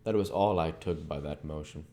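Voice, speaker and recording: Low voiced guy reading an old novel out loud, soft dark tone, bit halting. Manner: halting, stumbles over words and corrects himself. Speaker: audiobook reader. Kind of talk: amateur reading of a classic novel.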